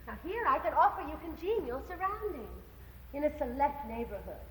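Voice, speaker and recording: A woman's voice: high voice, very animated, a little fast, fairly bright tone, slight background noise. An actress playing a chattering, pretentious widowed landlady in a stage musical, giggly and affected, dropping French phrases. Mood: gushing, flirtatious. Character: gushing pretentious widowed landlady, middle-aged. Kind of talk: stage musical, singing and acted dialogue. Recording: live stage soundboard, echoey theater hall.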